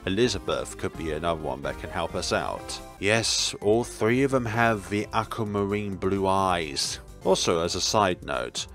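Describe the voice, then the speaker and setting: A man's voice: low-pitched, lively intonation, a little slow, few pauses, fairly bright tone, faint music behind. A man hosting a gaming video, giving casual commentary as he reads through a visual novel.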